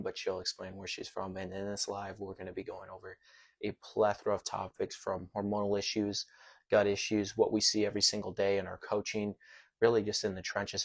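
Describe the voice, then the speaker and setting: A low-pitched American man talks loosely on a live video call, circling back and repeating his phrases as he hosts. His voice stays in a narrow, level band. The sound is that of a computer mic. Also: vocal fry. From United States. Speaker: podcast host. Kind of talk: casual live-stream chat.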